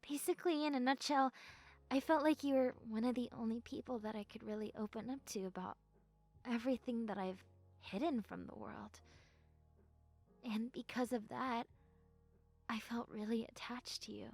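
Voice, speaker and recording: Woman acting a shy, high-voiced young goth girl. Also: fairly even intonation, moderate pace, pauses often, no echo, faint music behind. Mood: nervous, bashful. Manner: hesitant, stammering, soft-spoken. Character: shy young goth best friend, sweet and nervous. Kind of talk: intimate audio roleplay, scripted confession.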